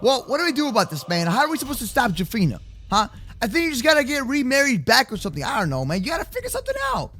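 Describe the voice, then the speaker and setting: A young man with a very high, bright voice hosts a gaming roleplay. His pitch swings widely as he exclaims and repeats words in slangy, excitable bursts. Faint music plays behind him. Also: very fast. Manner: slangy, addresses partner as bro and man. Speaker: gaming video host and roleplayer. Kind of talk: improvised Minecraft roleplay commentary for kids.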